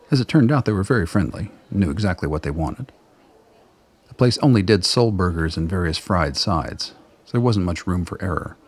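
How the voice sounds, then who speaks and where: Male storyteller for audio drama, deep and husky, scripted narration. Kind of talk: scripted radio play narration.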